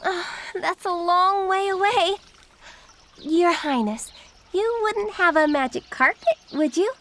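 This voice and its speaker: A woman's voice: extremely high pitch, takes it slowly, full character voice. A woman playing a plucky, confident young girl detective in a children's cartoon, eager, brave and a little bossy. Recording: loud music behind the voice.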